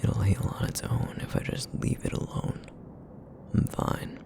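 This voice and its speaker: A man voicing a broody, guarded feral essence eater in an ASMR roleplay, with a raspy, rumbling, breathy murmur and terse, grudging phrases. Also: a little slow, close to an everyday voice. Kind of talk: ASMR roleplay audio acting.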